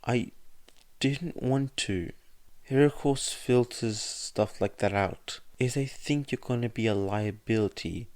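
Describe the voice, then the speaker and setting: A man voicing an anguished, furious teenage boy hero student who shouts bitter, sarcastic lines. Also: mid-range voice, takes it slowly, dry recording, pitched above the performer's own voice, lightly acted. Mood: shaky.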